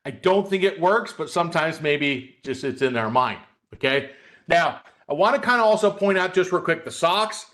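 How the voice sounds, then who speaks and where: A man's voice: a little high, lively intonation, normal speed, fairly bright tone. A man hosting a live trading webcast as a coach, talking through market charts in a conversational, folksy way, addressing viewers directly and often checking in with a short tag question. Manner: conversational, repeats "okay?" as a check.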